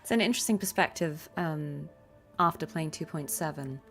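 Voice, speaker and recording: A woman's voice: low voice, normal speed, fairly bright tone. A woman chatting casually and musing aloud as she comments on a game during a livestream. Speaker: livestreamer and voice actress. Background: game music.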